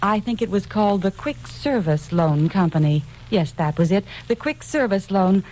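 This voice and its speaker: Soft, mellow, frail housewife played by an actress. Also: female voice, mid-range voice, animated, normal speed, close to an everyday voice, low-quality recording. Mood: hesitant. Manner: worried, hesitant, polite. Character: frail, anxious, sickly housewife, polite and gentle. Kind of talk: old-time radio drama acting. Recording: old radio recording, faint noise.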